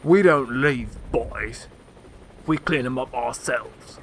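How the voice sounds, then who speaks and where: An actor playing a cocky, threatening gangland enforcer in a radio drama, with a taunting manner. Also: male voice, medium pitch, takes it slowly, a little hiss or hum, lightly acted. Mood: menacing, commanding.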